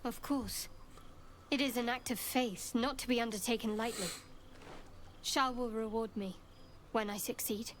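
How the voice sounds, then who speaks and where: An actress playing a guarded, devout young female cleric in a video game, measured and dryly wry. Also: a little high, steady tone, a little hiss or hum, close to an everyday voice. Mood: measured, proud. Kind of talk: video game dialogue acting. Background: game music.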